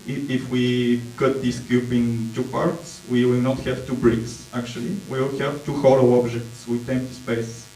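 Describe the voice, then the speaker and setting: A man with a Bulgarian accent speaks at an unhurried pace, filling gaps while he hunts for English words. His tone is dark and relaxed. The sound is distant and echoey, with a faint mains hum behind it. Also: mid-range voice. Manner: hesitant, many fillers, searching for English words. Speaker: conference presenter. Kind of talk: slow conference talk with slides. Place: dark auditorium stage.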